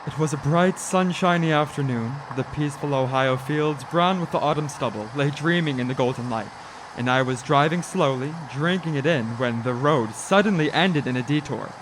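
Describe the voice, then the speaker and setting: An actor playing an anxious motorist who narrates a radio drama earnestly and stammers when flustered. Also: male voice, on the high side, normal speed, noisy background, lightly acted. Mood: reflective, uneasy. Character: anxious male motorist haunted by a hitchhiker, narrating.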